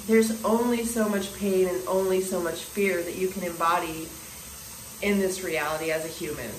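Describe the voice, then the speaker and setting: A woman in her forties speaking earnestly to a phone camera in a small bathroom, musing with restarts while her throat sounds scratchy. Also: lowish voice. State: scratchy throat, clearing it often. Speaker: spiritual vlogger. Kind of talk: casual spiritual monologue to camera. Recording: roomy bathroom echo, faint hiss.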